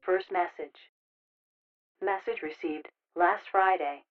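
Voicemail robot: slightly low female voice, measured, impersonal, muffled machine playback. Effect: answering machine filter. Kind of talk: automated voicemail announcement.

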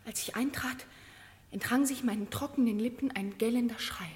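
An actress playing a prim chambermaid testifying with breathy, formal melodrama in a parody crime radio play. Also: female voice, fairly high pitch, normal speed, a little mellow, close to an everyday voice. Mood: hushed horror. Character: prim, dramatic young chambermaid giving testimony. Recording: cavernous reverberant radio-play sound.

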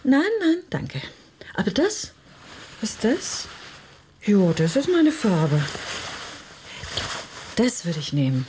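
An actress playing a lively, curious woman tourist in a radio drama, informal and spirited. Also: medium pitch, slow, long pauses, slight background noise. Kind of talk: German radio drama acting.

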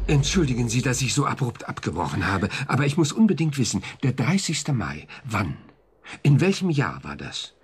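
An actor playing a probing, reassuring psychiatrist in a German radio drama, explaining things in a clinical manner with a husky voice. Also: male voice, medium pitch, sing-song, moderate pace, slight background noise, close to an everyday voice. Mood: probing, unsettled. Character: conscientious male psychiatrist, probing, reassuring, easily unsettled. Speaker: doctor.

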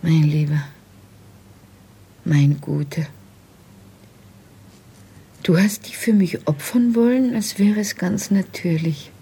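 An actress playing a tender, resigned wife facing arrest in a radio drama, hushed and breathy, speaking solemnly and intimately. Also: female voice, on the low side, takes it slowly, pauses often, full character voice. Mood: weary relief. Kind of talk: literary radio drama acting.

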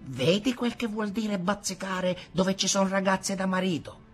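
A man's voice: high-pitched, a little slow, faint music behind, pitched above the performer's own voice. Man doing a reproachful village mother.

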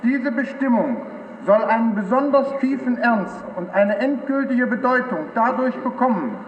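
An actor playing a fervent conservative politician, declaiming gravely in a formal parliamentary speech. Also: male voice, extremely high pitch, animated, moderate pace. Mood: solemn, fervent. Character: solemn, fervent male conservative politician addressing an assembly. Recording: dull sound in a long echoing hall.

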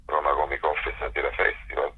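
A man's voice: extremely low pitch, completely flat delivery, fairly quick, husky, narrow, tinny audio. A man talking casually and enthusiastically in an interview, in a rambling, colloquial way, as a festival director.

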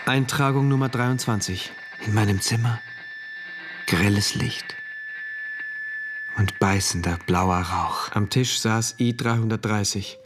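A male actor playing an introspective, detached diarist, narrating a radio drama in terse, measured phrases. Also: on the low side, background noise. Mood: brooding.

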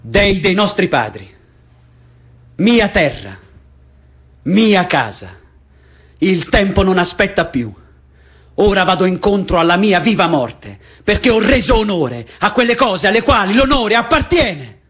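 An actor playing a grave, defiant condemned prisoner in a stage tragedy, declaiming solemnly in a husky voice. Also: male voice, sits high, sing-song, unhurried, long pauses, fairly bright tone, exaggerated voice. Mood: impassioned, solemn. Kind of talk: theatrical tragic declamation.

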